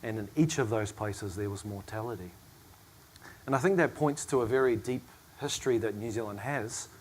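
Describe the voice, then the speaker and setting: A middle-aged academic man chairing a panel discussion, hoarse and losing his voice from a cough, speaking in a reflective, measured way. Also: on the low side, slight background noise. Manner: reflective, measured, with soft asides and small laughs.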